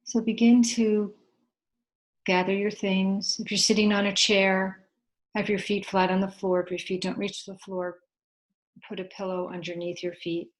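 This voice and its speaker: A woman leading a guided meditation in a gentle, unhurried way, leaving long pauses between short instructions. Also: medium pitch, flat delivery, poor audio.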